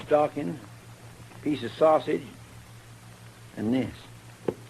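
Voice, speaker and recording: An actor playing a folksy, deferential marshal's helper in a 1950s radio western. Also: male voice, on the high side, drawn-out words, vocal fry, heavily acted, rough recording. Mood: matter-of-fact. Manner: deferential, animated. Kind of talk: 1950s radio drama acting. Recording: hissy old 1950s radio recording.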